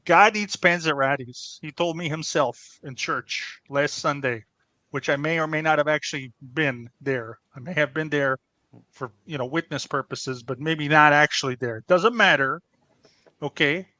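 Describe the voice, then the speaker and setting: A man's voice: fairly high pitch, normal speed, bright, forceful tone, no room sound. A man playing a blustering, defensive small-time crook and pizzeria owner with a Chicago accent, in improvised comedy banter. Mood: proud, boastful. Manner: blustering, defensive, repeats himself, wiseguy phrasing.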